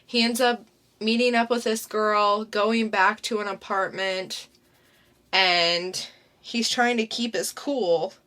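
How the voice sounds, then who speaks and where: Slow-talking bright young woman co-host. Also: medium pitch, fairly even intonation. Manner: unhurried, chatty, summarizing plots. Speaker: podcast co-host. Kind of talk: casual comic review chat.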